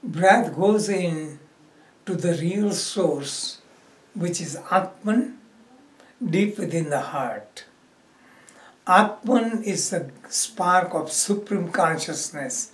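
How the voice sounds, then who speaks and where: A man leading a guided meditation as a yoga teacher, giving instruction in short phrases with pauses. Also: high voice, expressive, a bit bright. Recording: roomy echo, distant microphone.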